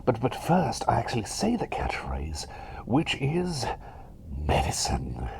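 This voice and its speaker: A man's voice: mid-range voice, wildly swooping pitch, unhurried, slight background noise, exaggerated voice. Whispery, swooping, eccentric plague doctor, played by a man. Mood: eager, rambling. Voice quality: breathy whisper mixed with soft voice. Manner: hesitant, rambling, repeats phrases, eager for approval. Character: cheery, eccentric, self-doubting male plague doctor. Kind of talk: ASMR roleplay, whispered personal attention.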